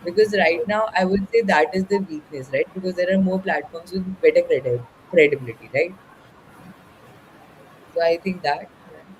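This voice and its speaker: A man with a notably high voice gives a critique of a product pitch over a video call. He checks in with tag questions as he goes. A faint noise sits underneath. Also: normal speed, rough recording. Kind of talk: video call mentoring session.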